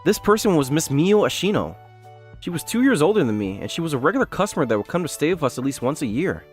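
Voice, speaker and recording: Male actor as young hotel owner, manga dub lead and narrator: polite, sincere, lightly high-pitched, very animated. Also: fast talker. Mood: narrating. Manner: polite, earnest. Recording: background music under the voice.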